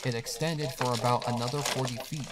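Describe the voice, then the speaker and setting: A man's voice: mid-range voice, steady tone, brisk, very noisy. A man reading a scripted horror story as a dramatic narrator. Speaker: narrator of a horror fan fiction. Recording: music behind the narration. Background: music.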